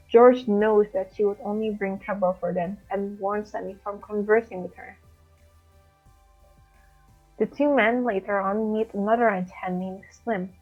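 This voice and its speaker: A young adult woman from Indonesia reading a prepared book summary aloud as a student. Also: mid-range voice. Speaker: student reader. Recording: thin phone-like audio with background music. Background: music.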